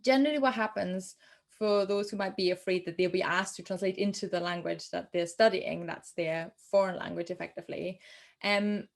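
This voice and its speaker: A woman's voice: on the low side, moderate pace, gravelly. Chatty German-accented woman translator. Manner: chatty, many ums and restarts. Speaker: translator guest. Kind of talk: casual interview answers.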